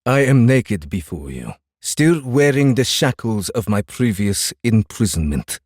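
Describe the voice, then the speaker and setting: An actor playing a grave, formal, centuries-old Japanese samurai warrior in a dramatized audiobook. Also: male voice, on the low side, big pitch swings, darkish tone, no echo, studio quality. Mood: flat, desperate. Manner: solemn, formal, deliberate. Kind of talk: dramatized audiobook character acting.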